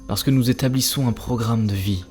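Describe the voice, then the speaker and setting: A man's voice: mid-range voice, a little fast, a little mellow, soft background music, natural voice. A man playing an earnest, moralizing bridegroom in a cartoon, explaining things seriously. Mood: serious, disapproving. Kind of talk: cartoon dialogue, French dub.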